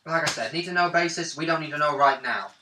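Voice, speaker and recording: A man's voice: a little high, fast talker, pushed and bright. A man chatting casually about rules as a player at a tabletop game. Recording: roomy, distant mic, faint table noise.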